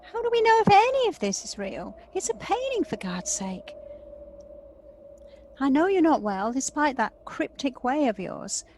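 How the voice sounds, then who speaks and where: A woman's voice: sits high, big pitch swings, measured pace, darkish tone, a little hiss or hum. A woman playing a jealous, wounded and accusing sister in an audio play, dramatic and confrontational. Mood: bitter, accusing.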